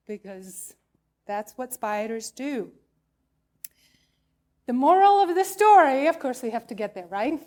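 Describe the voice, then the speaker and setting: A woman minister delivers a sermon in a fairly high voice. She speaks slowly, with deliberate emphasis, moving between storytelling and reflective questions. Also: darkish tone. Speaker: minister preaching.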